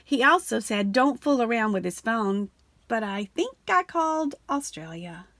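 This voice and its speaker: A woman reading a children's picture book aloud in an animated storytelling way, slowing down and sinking low on the repeated refrain. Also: no room sound. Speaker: storybook reader.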